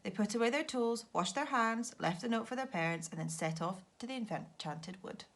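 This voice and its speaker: A woman teacher reading a children's story aloud with expressive narration. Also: on the high side, moderate pace.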